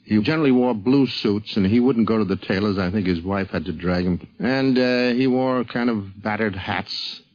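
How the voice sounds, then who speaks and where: An older man giving an informal, plain-spoken, slightly halting reminiscence on 1950s radio. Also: lowish voice, a little mellow, dry recording, lo-fi sound. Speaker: interviewee, cartoonist. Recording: 1950s radio recording, dulled sound.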